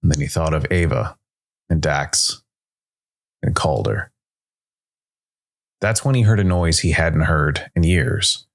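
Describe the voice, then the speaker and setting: A man's voice: very deep voice, animated, takes it slowly, gravelly, pauses often. A man reading a dramatic science fiction story as a scripted narration.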